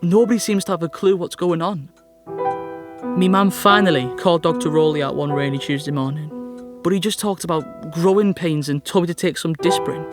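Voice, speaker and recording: An actor playing a sickly, self-pitying teenage schoolboy with a North East English accent in an audio drama monologue, glum and sardonic. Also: male voice, on the high side, fairly even intonation, fairly quick, music in the background, lightly acted. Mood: glum, aggrieved. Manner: glum, complaining, melodramatic.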